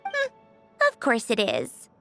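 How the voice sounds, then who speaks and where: A woman's voice: fairly high pitch, wildly swooping pitch, takes it slowly, vocal fry, a bit bright, dry recording, faint music behind, heavily acted. Dry, prickly young woman. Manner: dry, curt, a little flustered. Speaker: game character.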